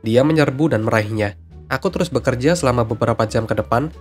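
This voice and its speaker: A man's voice: mid-range voice, quick pace. A man reading out scripted stories as a narrator, with music behind him.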